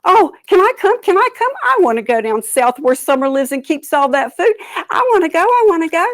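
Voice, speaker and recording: A woman voicing an eager, greedy male turtle who begs in a high, pleading voice. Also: sing-song, brisk, dry recording.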